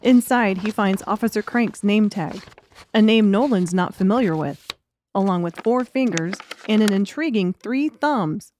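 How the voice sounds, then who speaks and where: A woman's voice: medium pitch, a little slow, a little mellow, slight background noise. A woman reading scripted story narration as an audiobook narrator.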